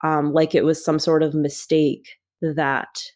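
A woman speaks slowly and thoughtfully, her low voice staying flat and even. She pauses often as she works through her ideas in an interview. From United States. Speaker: author guest. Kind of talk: reflective interview talk.

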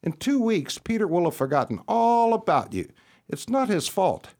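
A man's voice: high-pitched, very animated, normal speed, a little mellow. A man playing a frank, friendly, streetwise dog with a folksy manner in a radio play. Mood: frank, advising. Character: streetwise, frank, friendly older-hand dog giving advice.

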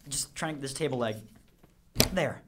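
A man's voice: mid-range voice, normal speed, a little hiss or hum, close to an everyday voice. A man acting in an audio drama as an earnest, nerdy teenage zombie enthusiast with a wordy, grandiose way of talking.

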